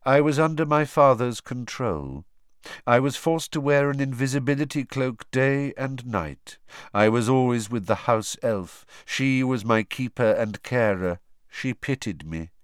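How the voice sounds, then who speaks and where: A man voicing a dazed male fanatic confessing under truth potion in a flat, measured, expressionless way. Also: mid-range voice, smooth, no room sound, clean studio sound, pitched above the performer's own voice, close to an everyday voice. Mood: flat confession.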